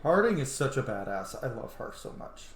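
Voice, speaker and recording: Streamer of unclear sex: lively, casual commentary, gushy and sweary, echoey room, game music faintly behind. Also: mid-range voice, a little fast, noisy background. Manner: gushing, sweary asides to the game. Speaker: streamer playing a roleplay game. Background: video game music.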